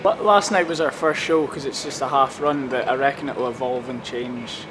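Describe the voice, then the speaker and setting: A young man from Scotland, a stand-up comedian, talks in loose, rambling chat about his show. He sits at an everyday middle pitch. Traffic and city street noise run clearly behind him. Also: steady tone, normal speed. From Scotland (Aberdeenshire). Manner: chatty, rambling storyteller. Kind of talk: casual interview chat.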